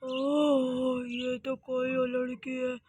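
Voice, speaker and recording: A woman voicing a sneaky male burglar who mutters to himself in a hushed, grumbling way. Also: high-pitched, monotone, very slow, breathy edge, no room sound, voice pushed down, heavily acted.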